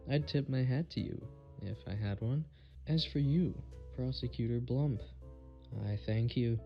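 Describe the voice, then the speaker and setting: A man's voice: medium pitch, unhurried, highs cut off, soft background music, lightly acted. A man playing a clever, confident defense attorney in an audio drama, pointed and theatrical in delivery. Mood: triumphant. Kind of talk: amateur audio drama acting.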